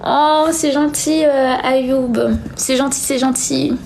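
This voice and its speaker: A young woman with a soft-edged, mellow voice that sits a little high. She chats informally as a live-stream host, reading comments and answering them with hesitant, trailing phrases. There is faint noise behind her. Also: little pitch movement, slow pace, low-quality recording. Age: young adult. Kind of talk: casual chat, reading and answering viewer comments.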